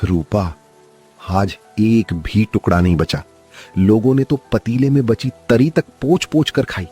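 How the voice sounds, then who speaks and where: Cartoon role: man voicing an earnest poor vendor, very deep, husky. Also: measured pace, dark tone, faint music behind. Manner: earnest, heartfelt. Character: hardworking poor male food vendor Manish.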